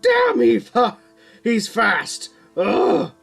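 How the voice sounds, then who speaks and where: A man's voice: extremely high pitch, huge pitch swings, very slow, gravelly, a bit bright, slightly rough, soft background music, pitched above the performer's own voice, exaggerated voice. A man voicing an earnest, knowledgeable male guardian hero in an audiobook, explanatory with an urgent edge. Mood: straining.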